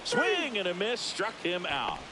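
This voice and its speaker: A man voicing a brisk, excitable play-by-play baseball commentator in a video game, speaking in clipped phrases that lift on big plays. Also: on the high side, noisy background. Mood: excited.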